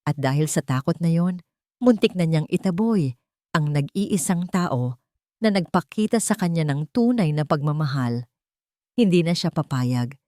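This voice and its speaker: A woman's voice: sits low, lively intonation, normal speed, dark tone, no echo, studio quality. A Filipino woman narrating a scripted romantic drama as a storyteller.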